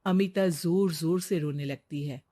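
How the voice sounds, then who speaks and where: A woman's voice: low voice, measured pace, warm tone. A woman narrating a scripted story as the storyteller.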